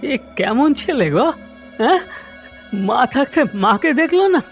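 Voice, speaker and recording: A woman voicing an earnest, kindly young cremation-ground man in a horror cartoon, hurried and exclamatory. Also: high-pitched, animated, faint music behind. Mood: upset. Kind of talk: cartoon horror story acting.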